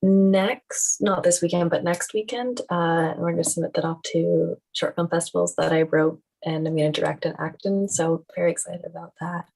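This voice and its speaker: A woman's voice: lowish voice, fairly even intonation, moderate pace, gravelly, few pauses, a little mellow, rough recording. A young woman in her teens chattily rambling through a casual introduction of herself and her creative work. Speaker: student actor and filmmaker.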